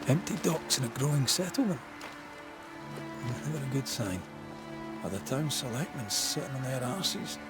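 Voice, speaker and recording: A man's voice: medium pitch, lively intonation, normal speed, long pauses, natural voice. A man playing a wry, confident young apprentice ghost hunter in a video game. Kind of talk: game voice acting. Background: music.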